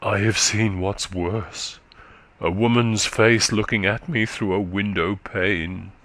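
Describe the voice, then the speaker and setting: A man voicing a weary, faint middle-aged countrywoman who is exhausted and short of breath, speaking slowly and low. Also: fairly even intonation, a bit bright, no room sound. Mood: bitter. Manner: slow, low, sorrowful.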